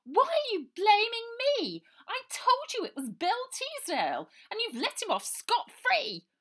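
A woman reading aloud and voicing a posh, plump, theatrical young girl in a high, gushing manner. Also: wildly swooping pitch, measured pace, a bit bright, full character voice. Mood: shouting. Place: small room indoors.

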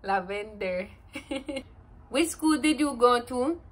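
A woman's voice: fairly high pitch, lively intonation, slow pace. A woman in her twenties with a Jamaican accent, asking questions in a casual interview. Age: 20s. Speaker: interviewer, granddaughter. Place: indoors, plain room.

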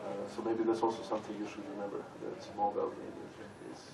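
A man from Serbia, speaking haltingly as a conference panelist. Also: fairly low pitch, completely flat delivery, vocal fry. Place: large conference hall with audience. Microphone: stage microphone heard from far off. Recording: echoey hall, distant, audience noise.

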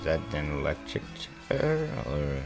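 A man's voice: rumbling low, sing-song, measured pace. A playful man who laughs readily, chatting and riffing casually as co-host of a cartoon reaction show. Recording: cartoon music clearly behind the voice.

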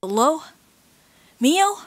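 A woman's voice: high-pitched, sing-song, very slow, a little hiss or hum, heavily acted. A cheerful young musician girl. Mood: eager. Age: young adult. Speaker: singer-songwriter lead character. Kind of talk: animated comic series voice acting. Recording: with loud background music.

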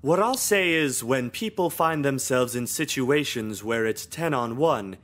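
An actor playing a smarmy, predatory school counselor in a visual novel, smooth-talking, insinuating and condescending. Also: male voice, mid-range voice, lively intonation, unhurried, fairly bright tone. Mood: smug, patronizing. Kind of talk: visual novel game voice acting.

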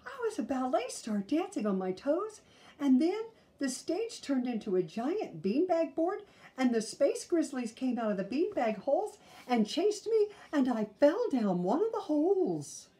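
An excitable little girl bear cub from a storybook, acted out by a woman reader, pitched very high. The voice swoops with drawn-out, wailing exclamations and sounds easily frightened. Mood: upset.

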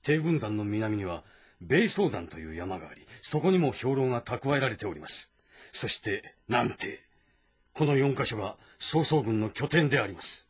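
A military strategist in a historical game drama. His husky voice moves expressively through formal, measured briefings, composed and respectful toward his lord. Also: medium pitch, very animated. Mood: composed, assured.

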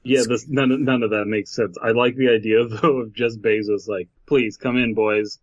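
A man's voice: mid-range voice, steady tone, normal speed, no room sound. A man co-hosting a podcast, casually riffing and reading aloud, on a dull, muffled mic. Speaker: podcast co-host.

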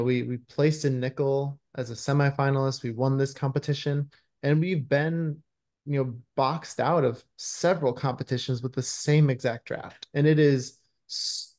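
A man's voice: medium pitch, steady tone, normal speed, a little mellow. A man in his thirties speaking casually as an interview guest on a video call, often searching for his words. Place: small room at home, indoors.

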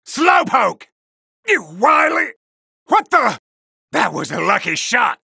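A sadistic wolf-man fighter for a video game, sneering and taunting in a harsh, gravelly shout. The pitch is high and swings wildly, and the tone is piercing and forceful. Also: sing-song, slow pace, no echo, studio quality, heavily acted. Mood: agitated, aggressive. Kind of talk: video game battle voice lines.

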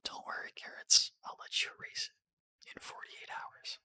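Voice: measured pace, pushed and bright. Reader whispering as a wry male trickster. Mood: teasing, tender. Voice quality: breathy whisper. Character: wry, teasing, soft-hearted male trickster.

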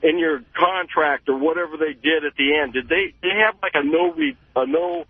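A man's voice: fairly high pitch, very animated, creaky, slightly rough, dry recording. A man calling in to a radio show, eager and rambling as he thanks the host. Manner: eager, rambling, talks fast. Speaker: caller. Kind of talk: call-in radio talk. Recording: thin telephone line on air.

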